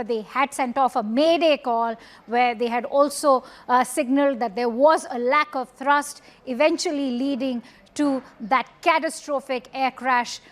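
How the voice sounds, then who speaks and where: A woman with an Indian accent anchoring live news in an earnest, deliberate way, questioning and summing up. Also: high voice.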